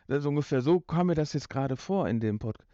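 A man's voice: a little high, expressive, fast talker, warm tone, no room sound, dull audio. A man co-hosting a podcast, chatting casually and jokingly about an audio drama. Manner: joking, mimicking. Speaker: podcast co-host.

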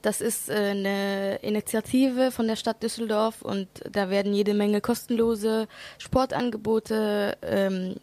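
A woman's voice: medium pitch, a little mellow, no echo. A woman hosting a radio interview, asking friendly questions and giving short attentive affirmations.

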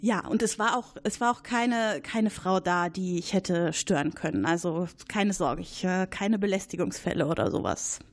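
A woman's voice: on the low side, animated, normal speed, few pauses. A woman playing a chatty, gossipy male supervisor at a military base in an improvised audio drama, rambling and hesitant as he points at a colleague. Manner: rambling, hesitant, eager to accuse.

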